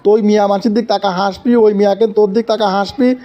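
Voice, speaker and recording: A man acts out a comic cartoon guru who lives in the forest. His voice is notably high, with a Bangladeshi accent. He preaches and chides in an exasperated way, repeating his orders for emphasis. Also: flat delivery, fast talker, dark tone, highs cut off, natural voice. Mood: bossy advising. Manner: preachy, chiding, repeats commands. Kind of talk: exaggerated comic cartoon acting.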